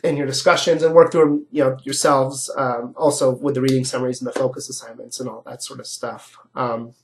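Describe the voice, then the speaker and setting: A male lecturer with a slightly stuffy nose walks students through ideas in a relaxed, explanatory way. His speaking voice sits at an ordinary middle pitch, and he checks in with the class as he talks. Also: fairly quick, slight background noise. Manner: casual and explanatory, with frequent "um" and "right?". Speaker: philosophy lecturer. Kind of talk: recorded online lecture.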